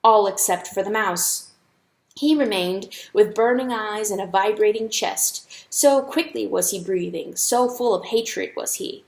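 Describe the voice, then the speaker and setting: A woman's voice: medium pitch, unhurried, vocal fry. A woman narrating an audiobook story as a dramatic, scripted reading. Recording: echoey, roomy sound.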